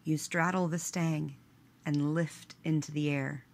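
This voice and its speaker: A woman with a low voice and a gravelly creak reads a guided meditation. Her delivery is very slow and measured, hushed at the ends of phrases. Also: steady tone.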